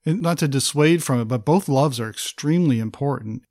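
A man's voice: medium pitch, fairly quick, a little mellow, no room sound. Guy cohosting a bible podcast, keen and chatty, dry close sound. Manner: enthusiastic, reads scripture aloud. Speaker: podcast co-host. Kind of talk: conversational Bible study podcast.

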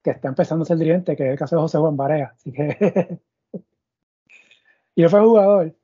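A man's voice: fairly high pitch, moderate pace, dark tone, breathy edge, no room sound. A man hosting a sports podcast in Spanish, asking long, meandering questions full of fillers and restarts. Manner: thinks aloud with many fillers and restarts. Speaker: podcast host. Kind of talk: conversational sports interview. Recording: dull, muffled audio.

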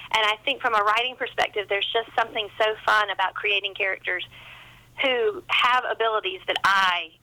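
A woman's voice: mid-range voice, steady tone, a little fast, bright, forceful tone, low-quality recording. A woman talking casually as an author guest in an interview, chatty and self-deprecating.

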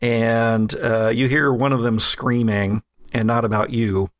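A man narrating a tabletop game as game master, relaxed and chuckling, with many fillers. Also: mid-range voice, a little slow, dry recording, studio quality.

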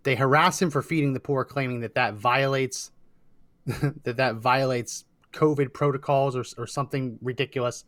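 A man's voice: mid-range voice, fairly even intonation, normal speed, fairly bright tone, no echo, studio quality. Laughing, teasing male talk-show host. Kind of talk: casual talk show banter.

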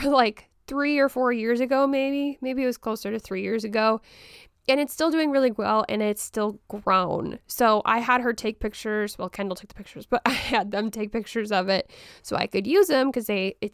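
A young woman hosting a casual podcast about houseplants. She talks in a chatty, rambling way with ums and light laughs, and her voice is still hoarse from the flu. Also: fairly high pitch, normal speed, no room sound, clean studio sound. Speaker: podcast host.

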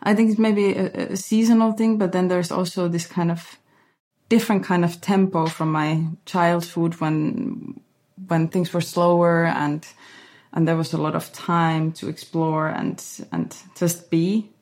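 Low gravelly woman, thoughtful. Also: little pitch movement, a little mellow. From Finland. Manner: thoughtful, halting, many restarts and fillers. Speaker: guest choreographer. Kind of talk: relaxed interview answers. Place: Berlin.

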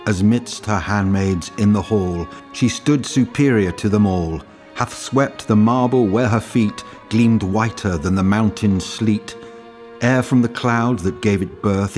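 A man reading a long narrative poem aloud in a measured, deliberate recitation. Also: fairly low pitch, a little mellow. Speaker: reader. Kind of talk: poetry reading.

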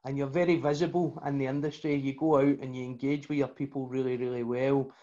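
A man's voice: medium pitch, little pitch movement, quick pace, dark tone, highs cut off. A man hosting a podcast, praising his guest and asking about his background. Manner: encouraging, short affirmations. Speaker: podcast host. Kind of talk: casual podcast interview.

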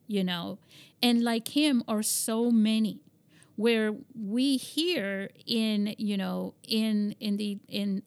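A woman from Spain hosting an interview, rambling with many fillers and restarts. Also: mid-range voice. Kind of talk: conversational interview.

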